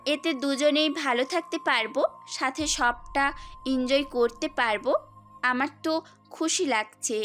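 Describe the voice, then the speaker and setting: Woman acting a high-voiced, bossy young bride-to-be. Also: unhurried, fairly bright tone. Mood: teasing. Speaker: voice actor in an amateur audio story. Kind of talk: acted audio story dialogue. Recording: background music throughout.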